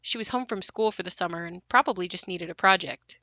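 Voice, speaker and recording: A woman's voice: lowish voice, brisk, sharp tone, dry recording. A young woman playing a wry narrator in an audio play, telling of her haunted house in a conversational monologue. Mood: wry, reflective. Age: young adult. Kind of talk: scripted dramatic monologue.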